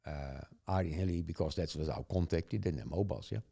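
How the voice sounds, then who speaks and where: A man's voice: low voice, fast, creaky, dark tone, slightly rough. An older man from the Netherlands with a Dutch accent, telling stories in casual chat, chuckling often and rambling with tag questions. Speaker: guest.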